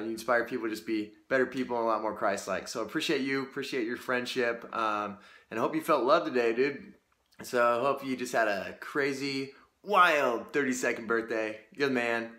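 A man's voice: mid-range voice, bright, forceful tone. Effusive man in an echoey room. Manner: effusive, keeps saying dude. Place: small indoor room with bare walls. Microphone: phone camera close up.

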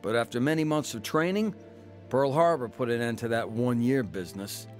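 A man acting as a grown son looking back on a crooked youth and the war. He tells it in a plain, matter-of-fact way at a middling pitch, as radio drama narration with music underneath. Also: moderate pace, a little mellow, natural voice. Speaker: narrator and lead actor. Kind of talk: scripted first-person radio drama narration.

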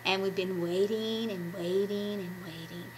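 A woman's voice: medium pitch, fairly even intonation, unhurried, a little mellow, a little hiss or hum, poor audio. A woman in her fifties giving an enthusiastic devotional talk to children, recorded on a webcam at home. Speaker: children's ministry puppet presenter. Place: small room at home. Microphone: webcam at arm's length.

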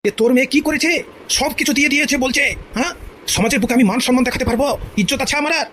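A man's voice: very high-pitched, quick pace, fairly bright tone, a little hiss or hum. A man voicing a furious, domineering old village father in a comedy cartoon, scolding with indignant stuttered repeats. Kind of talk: exaggerated comedy cartoon dialogue.

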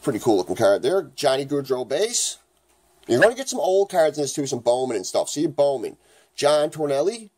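A man with a raspy voice from the flu, chatting casually as he opens hockey card packs in his home kitchen. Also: fairly high pitch, normal speed. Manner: chatty, rambling, excited at good pulls. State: raspy, getting over the flu. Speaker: hockey card channel host. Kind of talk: casual card-opening vlog.

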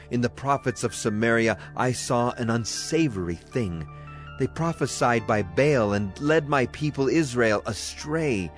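A man gives a solemn, declamatory dramatized reading of scripture as a Bible narrator. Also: lowish voice. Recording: clear music behind the voice. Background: music.

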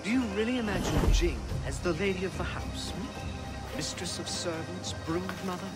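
Actor as anxious, high-voiced merchant gentleman. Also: natural voice. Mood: concerned. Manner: measured, formal, politely pointed. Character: anxious, cautious merchant brother, formal and insinuating. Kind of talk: video game drama acting. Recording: loud music behind. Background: music.

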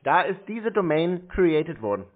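Blunt, high-pitched man, phone-like sound. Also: big pitch swings, a little slow. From Germany. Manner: blunt, insistent, repeats himself for emphasis. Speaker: scam baiter confronting a phone scammer. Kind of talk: confrontational phone conversation. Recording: narrow tinny phone-like sound.

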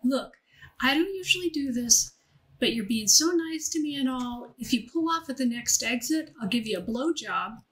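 A woman's voice: high voice, lively intonation, moderate pace, poor audio. A woman playing a brash, impatient young woman in audiobook dialogue, casual and streetwise. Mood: casual, coaxing.

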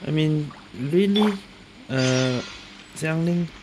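A woman's voice: sits low, slow, background noise. A woman giving casual, amused gameplay commentary, reacting hesitantly and reading out on-screen text. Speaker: gaming video creator.

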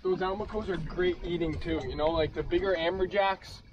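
A young man on a fishing boat, calmly explaining how fish taste and cook, recorded on an action camera out at sea. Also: high voice, brisk, recorded from a distance, lo-fi sound. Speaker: fishing partner. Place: small boat on open sea, outdoors. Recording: wind and boat noise outdoors.

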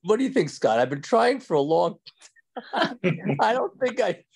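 Older rabbi with a thick New York accent, gravelly, chatty, on a home video call. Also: male voice, high voice, very animated, unhurried. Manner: rambling, joking, laughs often, many restarts. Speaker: rabbi teaching an evening class. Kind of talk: casual chat with class members. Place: indoors at home. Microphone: video call from a phone or webcam.

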